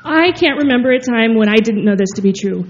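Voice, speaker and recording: A woman's voice: a little high, expressive, quick pace. Impassioned woman in her thirties. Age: 30s. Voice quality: loud voice. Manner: earnest, impassioned, reading a statement. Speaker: youth climate advocate giving public comment. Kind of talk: prepared public comment at a meeting. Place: public meeting room. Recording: muffled meeting-room audio.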